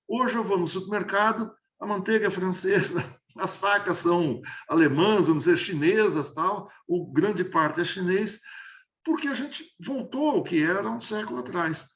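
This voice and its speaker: A man's voice: high voice, normal speed, fairly bright tone, roomy sound. A man in his sixties from the interior of São state, explaining at length as an interview guest, hesitant with many repeated restarts. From interior of São Paulo state, Brazil. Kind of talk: explanatory conversational analysis. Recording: dull lo-fi audio.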